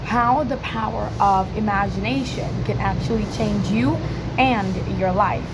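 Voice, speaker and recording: Woman, hoarse cracking voice, slow pace, hesitant filler-heavy speech, informal presentation, noticeable background noise. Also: medium pitch. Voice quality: croaky, cracking. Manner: hesitant, many filler likes. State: hoarse from yelling. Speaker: student presenter practising English speaking. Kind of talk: informal spoken question-and-answer presentation.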